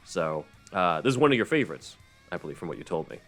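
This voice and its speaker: A man with a low voice hosts a casual podcast interview. He talks in a rambling, conversational way, with music playing behind him. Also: very animated, fast, fairly bright tone. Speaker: podcast host.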